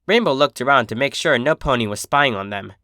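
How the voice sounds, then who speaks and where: Animated male storyteller, bright dry sound. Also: medium pitch, brisk, dry recording. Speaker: fan fiction reader, channel host. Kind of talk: expressive storybook narration.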